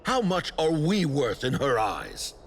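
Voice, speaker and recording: An actor playing an aggrieved, resentful delegate for common folk in a game, with a husky, gravelly voice. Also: male voice, fairly high pitch, huge pitch swings, unhurried, a little hiss or hum, exaggerated voice. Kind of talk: scripted game dialogue.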